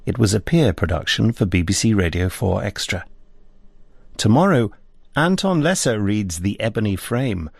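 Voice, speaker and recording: A man's voice: lowish voice, animated, moderate pace, gravelly, breathy edge, no room sound, clean studio sound. A man making a scripted broadcast announcement as a radio continuity announcer.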